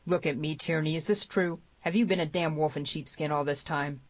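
A woman voicing a gruff, gray-headed male sheriff in a Western audiobook, blunt, demanding and authoritative. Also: sits low, fairly even intonation, brisk, dry recording, lo-fi sound. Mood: condemning.

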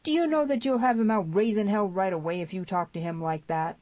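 A woman voicing a gruff, protective, hot-headed cowboy in a western audiobook. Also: fairly quick, darkish tone, dry recording, dull audio, natural voice. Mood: exasperated. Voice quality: deep, broken.

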